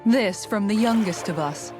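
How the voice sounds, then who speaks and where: A woman's voice: mid-range voice, normal speed, over music, natural voice. A woman voicing a composed, good-humoured grown woman warrior in a video game. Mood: dryly amused. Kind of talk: video game cutscene acting.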